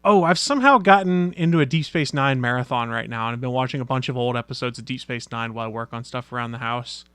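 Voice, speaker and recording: A man's voice: mid-range voice, quick pace, fairly bright tone, clear, resonant tone, dry recording. A man hosting a geeky podcast, chatting casually and laughing easily. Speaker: podcast host.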